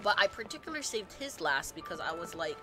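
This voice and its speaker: A woman streamer rambling casually and excitedly, full of fillers and the odd swear word. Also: fairly low pitch, fast, music in the background. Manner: gushing, animated, swearing casually, lots of fillers. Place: home streaming setup.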